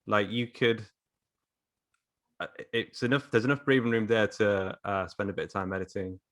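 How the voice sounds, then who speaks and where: A man's voice: on the low side, fairly even intonation, normal speed, fairly bright tone, no echo, studio quality. A man chatting casually as a guest writer on a podcast panel.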